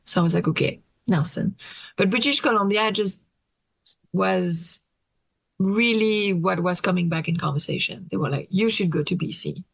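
French-accented woman with a gravelly voice. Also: mid-range voice, dry recording, poor audio. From France. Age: late 30s. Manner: hesitant, thoughtful, with many pauses and restarts. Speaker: interview guest. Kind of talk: casual interview conversation.

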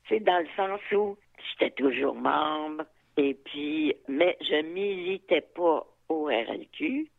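A woman's voice: low voice, animated, slow, gravelly. A woman in her seventies with a Quebec French accent, speaking frankly and thoughtfully as an interviewee, with fillers and asides. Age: 70s. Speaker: interviewee, activist. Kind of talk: reflective interview talk. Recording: tinny phone line.

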